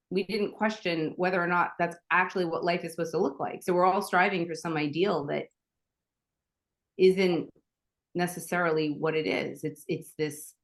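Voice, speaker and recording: Animated lower-pitched New woman. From Staten Island, New York. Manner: animated storyteller, acts out quoted dialogue, frequent restarts. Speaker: podcast guest. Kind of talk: casual conversational interview.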